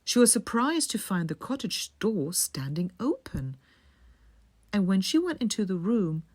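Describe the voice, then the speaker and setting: A woman storyteller narrating a fairy tale for sleep in a soothing, measured way, often hushing the ends of phrases. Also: mid-range voice, very animated. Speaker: bedtime storyteller.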